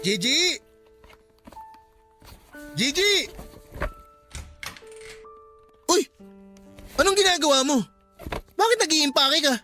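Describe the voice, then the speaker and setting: An actor with a Filipino accent playing a belligerent, abusive husband and father who sneers and shouts in a radio drama. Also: very high-pitched, expressive, slow, faint music behind, exaggerated voice. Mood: agitated.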